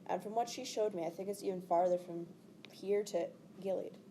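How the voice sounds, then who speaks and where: A woman's voice: mid-range voice, fairly even intonation, fairly quick, dark tone, slight background noise, lightly acted. A woman reading the part of an earnest, stubborn teenage boy hero in a storybook.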